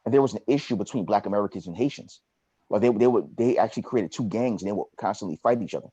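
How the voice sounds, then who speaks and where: A man from New Jersey hosts a podcast interview over a video call, talking quickly in a low, husky voice. He speaks reflectively and conversationally, and the call audio sounds dull and muffled. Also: warm tone, no echo. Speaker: podcast host. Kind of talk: casual interview.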